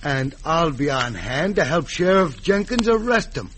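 A man's voice: fairly high pitch, very animated, a little slow, fairly bright tone. An actor playing a tough, trigger-happy outlaw henchman with rustic cowboy speech in an old-time radio western. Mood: eager, menacing. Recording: noisy vintage radio recording.

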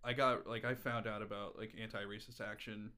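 American man in casual, halting conversation. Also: fairly low pitch, flat delivery, pushed and bright. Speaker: podcast guest.

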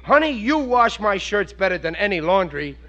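An actor playing a dim, blustery middle-aged husband in an American radio comedy, with an American accent and plaintive, slangy, literal-minded delivery. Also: male voice, sits high, sing-song, moderate pace, pushed and bright, muffled recording, exaggerated voice. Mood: smugly naive. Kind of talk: 1940s-50s radio comedy acting. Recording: band-limited, faintly noisy old radio recording.

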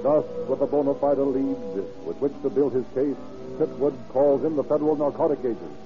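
A man's voice: medium pitch, brisk. Muffled old-radio male narrator over music. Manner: formal, dramatic. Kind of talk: 1930s radio crime drama narration. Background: dramatic music.

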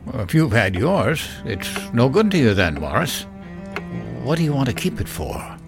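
A man's voice: mid-range voice, huge pitch swings, moderate pace, music in the background. An actor playing a curious, stubborn, genial family father in a horror audio drama, musing and hesitant.

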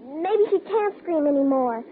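High-voiced, frantic little girl, old radio. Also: animated, measured pace. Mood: urgent. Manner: pleading, breathless urgency. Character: frantic young girl, earnest and insistent, desperate to be believed. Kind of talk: 1950s radio drama acting. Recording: noisy, tinny 1950s radio recording.

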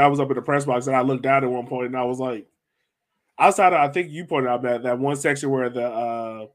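A man hosting a casual sports podcast, talking in an informal, colloquial, emphatic way. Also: medium pitch. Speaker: podcast host.

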